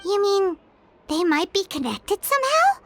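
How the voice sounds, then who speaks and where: A woman voicing a tiny, chirpy, excitable fairy companion in an anime game. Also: extremely high pitch, a little slow, a little hiss or hum, exaggerated voice. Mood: curious. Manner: excitable, speaks of herself in third person. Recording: music behind the voice.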